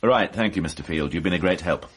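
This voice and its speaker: An actor playing a polite, probing police inspector in a radio drama, with formal, measured questioning. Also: male voice, deep voice, noisy background, lightly acted. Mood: dry, businesslike.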